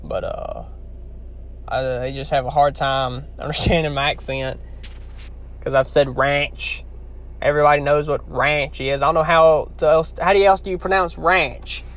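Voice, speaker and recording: A man's voice: a little high, fairly even intonation, moderate pace, a bit bright. Chatty young man, heavy Louisiana drawl. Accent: heavy Southern US, Louisiana. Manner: chatty, rambling, talks straight to viewers. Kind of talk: casual talk to camera.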